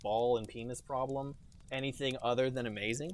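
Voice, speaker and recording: A man's voice: mid-range voice, fairly even intonation, brisk. A man hosting a comedy podcast, joking sarcastically in casual chat. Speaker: podcast host.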